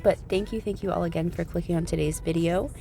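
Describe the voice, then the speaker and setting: A young woman hosts her cleaning vlog, friendly and chatty, her voice low and gravelly from a cold. Music plays clearly beneath her talk. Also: steady tone, fast talker, warm tone. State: has a cold, voice off. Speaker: cleaning channel host. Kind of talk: casual vlog talk to viewers. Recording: background music under the voice.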